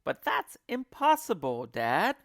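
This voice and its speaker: Cheeky little monkeys and birds from a children's storybook, put on by a male reader. The voice jumps up high and swings about, questioning and exclaiming, excitable and full of doubt. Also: slow pace, vocal fry, no room sound, studio quality, full character voice. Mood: doubtful. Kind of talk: children's storybook character reading.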